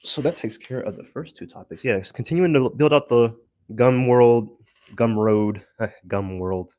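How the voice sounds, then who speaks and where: A man giving a casual, rambling audio log as a solo creator, haltingly searching for words with self-deprecating asides. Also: mid-range voice, mellow, no room sound.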